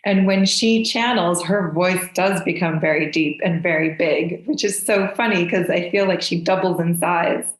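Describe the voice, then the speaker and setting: A woman with a somewhat low voice gives casual answers as a podcast guest. She is effusive and enthusiastic, and the recording is noticeably roomy and echoey. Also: normal speed, few pauses. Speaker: podcast guest, spiritual channeler. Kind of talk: casual conversational interview answers.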